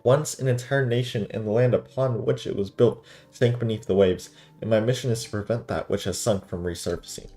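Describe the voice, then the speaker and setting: A man's voice: lowish voice, brisk, few pauses, mellow, soft background music, natural voice. A man voicing a pompous, cryptic talking cat guide from a video game, speaking in formal, old-fashioned grand phrases. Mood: solemn, grand. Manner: formal, archaic, grandiose wording.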